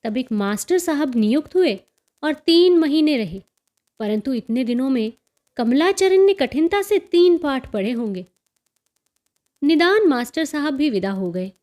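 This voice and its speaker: Woman, high pitch, storyteller, scripted narration, audiobook-style reading. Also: expressive, measured pace, mellow. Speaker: storyteller and channel host.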